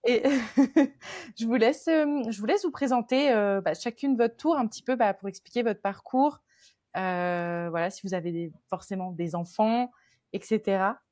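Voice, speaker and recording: A woman's voice: on the high side, normal speed, a little mellow, dry recording, studio quality. A woman hosting a podcast in casual chat, talking with many fillers and restarts. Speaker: podcast host.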